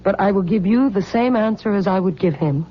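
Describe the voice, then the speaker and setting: Woman playing determined young romantic heroine; mid-pitched, emotional, vintage radio sound with clear noise. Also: a little fast, dull audio, lightly acted. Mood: resolute. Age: 20s. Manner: earnest, emotional. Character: devoted, determined young woman in love, torn. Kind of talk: old radio drama acting.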